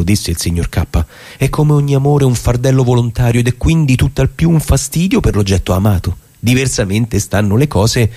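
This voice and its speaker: A man's voice: on the low side, dark tone, husky. Man playing a chatty stage actor. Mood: measured, declamatory. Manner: halting and repetitive on the phone, measured when reading. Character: chatty stage actor on a phone, rehearsing alone. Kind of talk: theatrical monologue, casual phone talk and literary reading.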